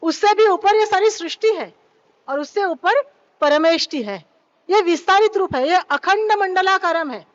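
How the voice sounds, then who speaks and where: A woman's voice: extremely high pitch, expressive, moderate pace, no room sound, lo-fi sound. A woman giving a spiritual talk at a yoga event, speaking into a microphone and often asking her listeners questions. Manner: keeps asking the audience questions; mixes in English phrases. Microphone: microphone at a live event.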